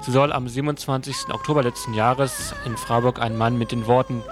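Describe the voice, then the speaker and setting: A man's voice: mid-range voice, steady tone, a little fast. A man presenting event listings and programme previews on the radio, addressing listeners informally. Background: music.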